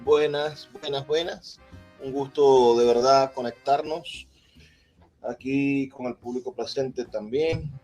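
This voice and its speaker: A man introducing a speaker at a live event, in halting, deliberate phrases. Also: medium pitch, fairly even intonation, darkish tone, highs cut off, soft background music. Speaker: event presenter. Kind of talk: live introduction at a book presentation. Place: live public event in a theatre.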